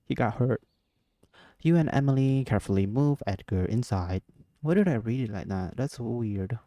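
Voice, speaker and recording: A man's voice: mid-range voice, expressive, normal speed, warm tone, no room sound. A man doing casual, playful reaction commentary on a game, sometimes reading the on-screen text aloud. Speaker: gamer doing a playthrough.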